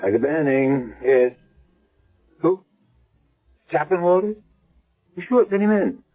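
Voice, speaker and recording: An actor playing a brisk, inquisitive, informal hospital doctor in 1970s radio drama style. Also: male voice, a little high, lively intonation. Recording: dull vintage radio recording.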